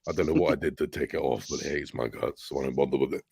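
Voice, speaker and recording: A man's voice: very deep voice, racing, vocal fry, darkish tone, a little hiss or hum. A man co-hosting a casual movie livestream, chatting, laughing and playing himself down. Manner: laughing, self-deprecating. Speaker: podcast co-host.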